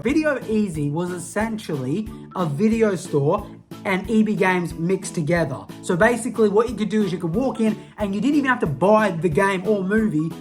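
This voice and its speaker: A young Australian vlogger with a mid-pitched voice talks in a loose, slangy ramble, tripping over restarts and poking fun at themself. Faint music sits low behind the voice. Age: young adult. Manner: rambling, slangy, self-mocking, lots of restarts. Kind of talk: casual nostalgic rant to camera.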